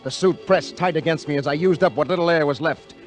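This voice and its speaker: An actor playing an easygoing young navy veteran diver with an American accent, breezy and colloquial, in old radio drama style. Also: male voice, on the high side, fast talker, soft background music, natural voice. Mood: tense. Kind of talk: 1950s radio acting, first-person narration. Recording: vintage 1950 radio recording.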